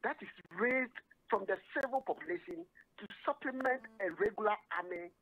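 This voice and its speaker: A man's voice: very high-pitched, moderate pace, vocal fry, muffled recording. A Ghanaian man calling in to a talk radio show, arguing his point with stumbling repetitions. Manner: animated, argumentative, stumbling repetitions. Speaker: caller.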